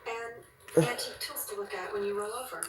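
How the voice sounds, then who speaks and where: A woman's voice: medium pitch, steady tone, fairly quick, roomy sound, lightly acted. An actress playing a fussy, demanding woman who sets exacting terms for her wedding, heard as sitcom sound from a TV. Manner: prim and exacting. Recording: far-off TV audio with background noise.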